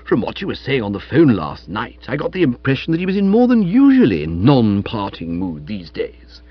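A man voicing a chatty, foppish young English gentleman in an audiobook, with florid upper-class slang. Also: mid-range voice, huge pitch swings, moderate pace, no room sound, lo-fi sound. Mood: breezy, boastful. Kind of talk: audiobook character voice.

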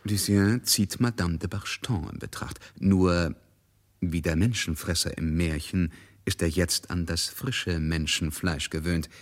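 Deep-voiced male radio drama narrator, emphatic. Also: slightly rough, full character voice. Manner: measured, emphatic, literary.

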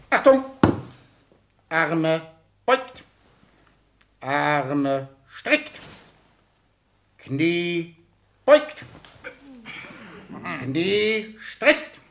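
A man's voice: on the high side, fairly bright tone, full character voice. An actor playing a strict male schoolteacher in a comedic radio play, commanding, clipped and sarcastic in manner. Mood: barking orders. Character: strict, barking male gym and mathematics schoolteacher.

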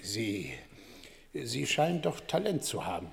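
A man's voice: medium pitch, big pitch swings, a little slow, gravelly. An actor playing a brash, uneducated, pushy man with blunt slangy talk in a radio play. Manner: blunt, colloquial, intrusive. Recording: long hall reverb.

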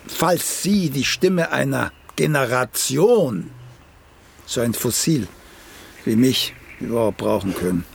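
An actor in a German radio play voicing a vain, needling elderly playwright who speaks in a pompous, deliberate way. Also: male voice, medium pitch, expressive, creaky, husky, heavily acted. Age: older. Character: vain, cantankerous elderly once-celebrated playwright.

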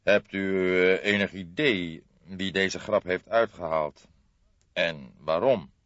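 A man voicing a grey-haired veteran detective in an audiobook, thoughtful and measured, with a dryly teasing manner. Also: low voice, fairly bright tone, no room sound, dull audio. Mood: probing. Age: older. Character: grey-haired veteran male detective, deliberate, wryly jovial. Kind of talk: audiobook character acting.

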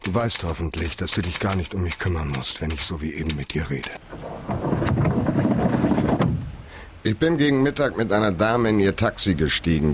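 An actor playing a tough, curt private detective in a radio crime drama, blunt and impatient, with a husky voice. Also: male voice, deep voice, background noise. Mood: businesslike.

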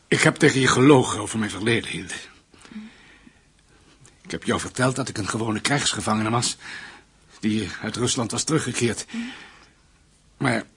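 An actor playing a guilt-ridden husband and father with a secret past, husky, hesitant and pleading, in a radio drama. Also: medium pitch, a bit bright, exaggerated voice. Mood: confessing, heavy-hearted.

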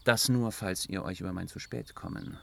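An actor playing a sly, evasive trickster god in a fantasy radio drama, with a wily, teasing, theatrical delivery. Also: male voice, sits low, moderate pace, vocal fry. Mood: mocking, smug.

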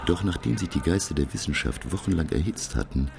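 Learned professor telling his sea adventure, super deep n gravelly, swings a lot, music behind, radio drama. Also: animated, brisk, exaggerated voice. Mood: measured, suspenseful. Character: learned, self-assured French professor recounting his expedition. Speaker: narrator and lead character. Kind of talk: dramatic scripted narration.

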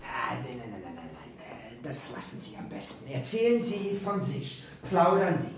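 A man in a radio play acting an officious, smoothly controlling male interviewer of the Nazi era. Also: mid-range voice, very animated, moderate pace, loud music behind the voice, close to an everyday voice. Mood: dismissive, instructing.

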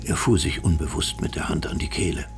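A man narrating a radio crime drama as a grave storyteller, measured and suspenseful. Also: very deep voice, gravelly, soft background music.

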